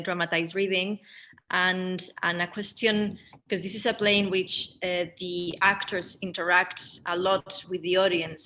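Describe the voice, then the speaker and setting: A woman with a lowish, bright and forceful voice that stays quite flat in pitch. She moderates a Q&A off the cuff, circling hesitantly toward each question. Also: slight background noise, low-quality recording. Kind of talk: unscripted interview questioning.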